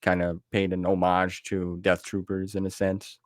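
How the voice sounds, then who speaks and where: Deep-voiced man chatting, dry sound. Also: completely flat delivery, moderate pace, no echo. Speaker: podcast host. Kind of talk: casual chat.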